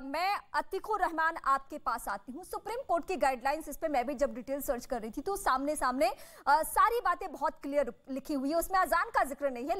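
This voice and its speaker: A woman with a high voice moderates a televised news debate. She presents like a live anchor, with emphatic, formal delivery. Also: a bit bright.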